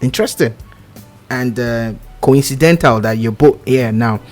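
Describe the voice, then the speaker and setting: A male radio host speaks slowly, drawing out his questions. He encourages his guests and laughs along. Faint music runs beneath. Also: medium pitch, darkish tone. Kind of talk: radio interview.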